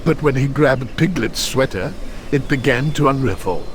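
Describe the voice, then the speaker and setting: A man narrating a children's story from a script in an expressive storytelling manner. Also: medium pitch, moderate pace, background noise.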